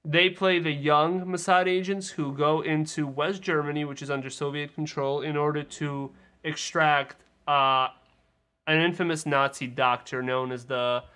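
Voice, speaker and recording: A man with a fairly high, bright voice talks films casually as a podcast co-host. His sound is crisp and forward, with a smooth, clean tone. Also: steady tone, a little slow. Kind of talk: casual film discussion.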